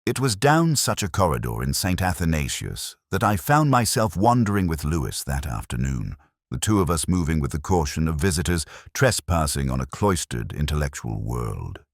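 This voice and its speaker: Very deep-voiced brooding male narrator. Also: sing-song, no room sound, studio quality. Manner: measured, literary, brooding. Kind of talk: first-person detective audio drama narration.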